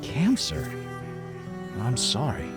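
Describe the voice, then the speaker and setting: Gruff, expressive old buddy. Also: male voice, medium pitch, slow pace, music in the background, heavily acted. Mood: shocked, sympathetic. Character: old man, gruff loyal lifelong fishing buddy. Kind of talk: audio drama acting, folksy dialogue.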